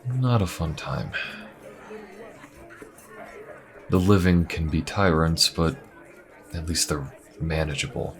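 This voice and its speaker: Calm, shrewd undercover inquisition adventurer, male actor, extremely low register, measured and conspiratorial, fantasy audio drama. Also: exaggerated voice. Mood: wry, wary. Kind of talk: fantasy audio roleplay, intimate conversational acting.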